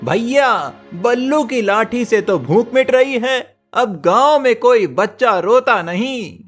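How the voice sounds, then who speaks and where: A man's voice: very high voice, expressive, slow, faint music behind, full character voice. A man voicing an admiring, cheerful villager in a cartoon moral story, full of enthusiastic praise. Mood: excited. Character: admiring, cheerful village gossip, ordinary townsman.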